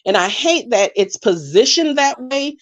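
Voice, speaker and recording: A woman's voice: fairly high pitch, animated. A woman, born in Jamaica and raised in the United States, speaking as she makes a long, earnest point in a live online panel discussion. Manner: earnest, measured, speaks at length. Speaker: panel participant.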